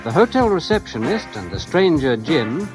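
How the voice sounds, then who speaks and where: A man's voice: on the high side, very animated, normal speed, over loud music, rough recording. A man reading formal scripted credits as a radio announcer.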